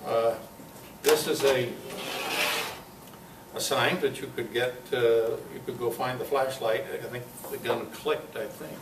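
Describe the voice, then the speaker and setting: A man's voice: mid-range voice, fairly even intonation. Folksy man talking in an echoey room. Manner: halting, folksy, jokey. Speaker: club speaker giving a talk. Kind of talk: informal show-and-tell talk to a club audience. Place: club meeting room, live talk. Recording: echoey room, distant mic, clear background noise.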